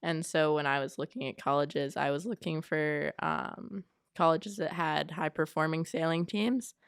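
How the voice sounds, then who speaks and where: A young woman from Florida, with a low voice and a lot of vocal fry. She answers interview questions fluently and tends to pick up speed at the ends of her sentences. Her voice is smooth and close, with a dry sound. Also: fairly even intonation, measured pace, dry recording. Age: young adult. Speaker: college sailing team captain.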